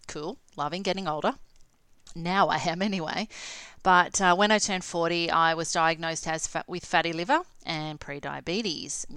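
Low, crisp Australian woman in her forties. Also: pushed and bright, no room sound. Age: late 40s. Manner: conversational, candid. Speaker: podcast host and health coach. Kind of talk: casual solo talk, personal storytelling.

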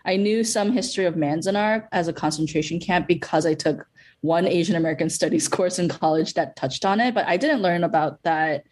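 A woman producer from Bolivia who grew up in Los Angeles talks in a podcast interview. Her voice is low and her speech is casual, full of fillers and "like". She sounds relaxed in conversation. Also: brisk. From Bolivia, raised in Los Angeles. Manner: casual, filler-heavy, frequent "like". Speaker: film producer.

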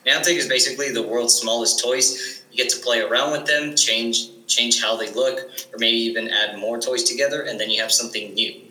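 A man's voice: mid-range voice, steady tone, a little fast, bright, forceful tone, echoey room, low-quality recording. A teenage boy answering interview questions eagerly, with frequent restarts and fillers. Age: teens. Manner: eager, restarts often, many likes and ums. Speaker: guest.

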